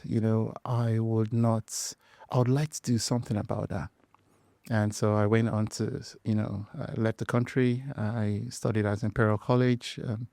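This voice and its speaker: Low-voiced Nigerian man, thoughtful. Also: vocal fry, a little mellow, no echo. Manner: thoughtful, hesitant, many fillers and restarts. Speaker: podcast guest, structural engineer. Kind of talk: conversational interview.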